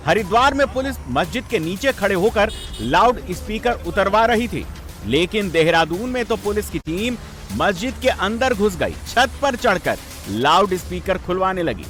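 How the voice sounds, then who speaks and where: A man's voice: sits high, big pitch swings, moderate pace, fairly bright tone. A man presenting scripted TV news narration in an emphatic, sensational, dramatic way. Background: background music.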